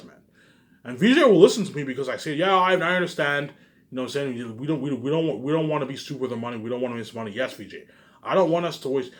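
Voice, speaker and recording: A man speaks in a mid-range voice, rambling casually as if thinking aloud. He loops back over his own phrases, restating them with small changes. Loose swearing and little tag questions pepper his talk. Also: medium pitch. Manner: repetitive, circling back over the same phrases.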